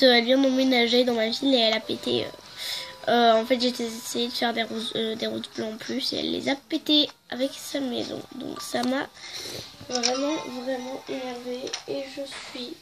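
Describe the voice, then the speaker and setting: A woman's voice: a little high, level tone, normal speed, a bit bright, slight background noise, rough recording. A young woman with a head cold, chatting hesitantly with lots of fillers while showing off her game. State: congested with a cold. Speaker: gaming video host. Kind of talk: casual game walkthrough.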